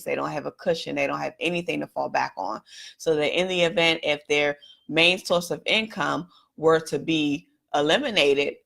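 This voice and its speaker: Low gravelly woman sharing her story. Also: fairly bright tone. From Washington, D.C. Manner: candid, reflective, speaks to viewers directly. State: slightly raspy voice. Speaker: host. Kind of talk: personal story told on a live stream.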